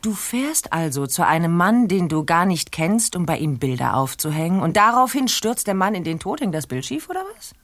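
An actress playing a sharp, skeptical police detective questioning a suspect in a radio play. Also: female voice, lowish voice, big pitch swings, normal speed, hardly any pauses, no echo. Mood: stern.

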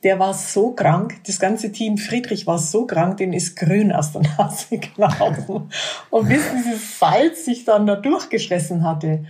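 A woman, a physiotherapist telling lively stories in a podcast interview, with many hesitant fillers. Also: medium pitch, measured pace. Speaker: physiotherapist of a national bobsleigh team.

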